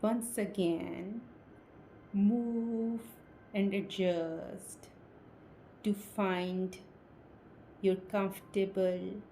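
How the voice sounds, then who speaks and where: A woman guides a relaxation session as a hypnotist. Her voice is mellow and relaxed, delivered extremely slowly in short, soothing phrases with long pauses. A faint layer of ambient noise sits behind her. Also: mid-range voice, vocal fry. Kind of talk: slow guided meditation, hypnosis induction.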